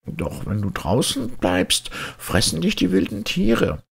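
A man's voice: a little high, huge pitch swings, slow pace, gravelly, breathy edge, pitched above the performer's own voice, full character voice. A man voicing a kindly, worried old woman in a storybook fairy tale.

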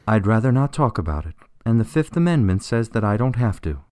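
A man as low-voiced, evasive jailed politician. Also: very animated, brisk, darkish tone, no room sound, clean studio sound. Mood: guarded. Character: imprisoned male ex-governor, smooth, evasive, stubborn politician. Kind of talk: radio drama dialogue.

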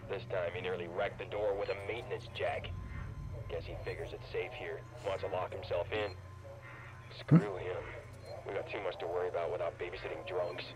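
An actor playing a weary, irritated space-station lawman, gruff and fed up, in a video game audio log with a radio-like filter. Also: deep voice, fairly even intonation, normal speed, a crowd in the background, low-quality recording.

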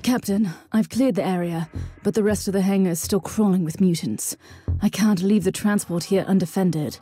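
An actress as a stern, fearless warrior nun in a dark science fiction game. Her voice is dark and mellow, composed and commanding, with faint music behind it. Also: medium pitch, moderate pace, lightly acted. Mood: composed, resolute.

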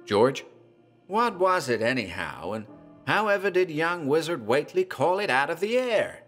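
A man's voice: on the high side, very animated, normal speed, a bit bright, soft background music, pitched above the performer's own voice. A man doing an anxious rustic villager. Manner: rustic, pleading questions.

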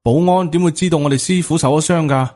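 A man's voice: a little high, fast, darkish tone, voice pushed up, lightly acted. Man acting resentful, accusing young disciple. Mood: sarcastic, suspicious. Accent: Hong Kong Cantonese. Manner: cold, sarcastic, accusing. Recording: music under the voice.

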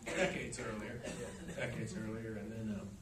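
A man's voice: fairly low pitch, level tone, moderate pace. A middle-aged man answering audience questions after a film screening, rambling and anecdotal with plenty of fillers. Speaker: actor and musician in a film Q&A. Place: cinema stage with audience. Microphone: handheld mic, recorded from far in the audience. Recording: echoey theater from far off, noisy.

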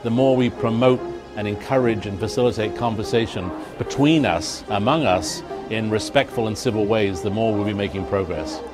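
An older man with an English accent, a museum director, speaking in an interview in a measured, earnest way. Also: on the low side. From England. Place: museum hall. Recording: music bed underneath.

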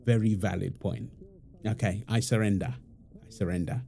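A man's voice: fairly low pitch, fairly even intonation, normal speed, gravelly, warm tone, a little hiss or hum. A man playing a playful, teasing young husband and doting father in an intimate, affectionate domestic ASMR roleplay. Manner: teasing, affectionate, chuckling banter. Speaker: roleplay audio performer.

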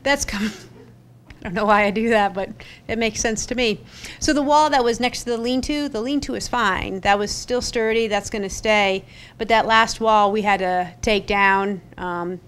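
Middle-aged woman, smooth clear voice, casual filler-heavy report at a podium mic in a council chamber. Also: mid-range voice. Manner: chatty, filler-heavy, upbeat. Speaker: parks staff presenter. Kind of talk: public meeting report. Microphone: podium gooseneck mic.

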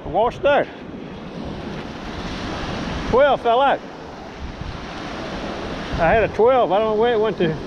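A man's voice: high voice, lively intonation, slow, long pauses. A man mumbling a half-to-himself running commentary while he searches a beach with a metal detector, recorded on a body-worn action camera. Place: windy open beach by the surf. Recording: dull lo-fi sound with loud wind and surf. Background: wind and crashing waves.

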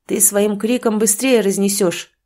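A woman voicing a blunt, gruff village stepfather. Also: medium pitch, fairly even intonation, unhurried, a little mellow, lightly acted. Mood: urgent. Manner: blunt, scolding.

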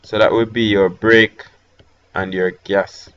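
A man with a Jamaican accent talking through a software tutorial step by step, with hesitant fillers between instructions. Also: on the low side, flat delivery, slow pace, highs cut off. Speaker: tech tutorial host. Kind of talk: screen-recorded software tutorial.

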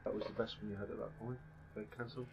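Low male host, casual game commentary, patient step-by-step explainer. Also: level tone, quick pace, noisy background. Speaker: gaming channel host.

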